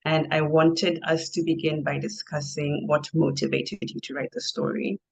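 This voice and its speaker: A woman's voice: low-pitched, moderate pace, creaky, a little mellow, breathy edge, rough recording. A woman moderating a live book discussion in an informal, conversational way. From Kenya. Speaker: moderator, interviewer.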